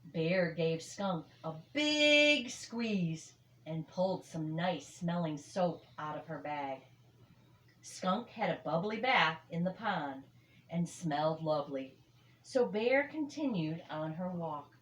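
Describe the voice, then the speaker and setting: An older woman reads a children's story aloud with expressive, emphatic phrasing in an echoey room. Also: lowish voice, slow pace, slight background noise. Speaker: storybook reader.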